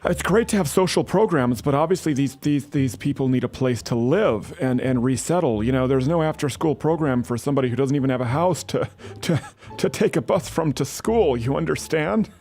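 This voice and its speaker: A man's voice: medium pitch, animated, fairly quick, a little mellow. A man making a prank phone call as an earnest-sounding aid worker, pushing persistently. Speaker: prank caller.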